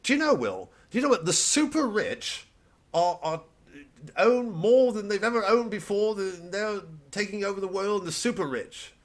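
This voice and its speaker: A man's voice: sits high, very animated, normal speed, pitched above the performer's own voice. A man voicing a fussy, smug millionaire bandleader in a comedy monologue, stammering and repeating himself prissily. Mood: earnest, indignant.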